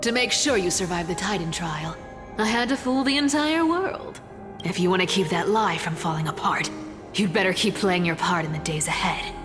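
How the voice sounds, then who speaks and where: A woman's voice: on the low side, expressive, moderate pace, lightly acted. An actress playing a sly, world-weary female trickster thief in a video game, guarded, proud and knowing. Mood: sly, commanding. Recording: long reverb under clear music.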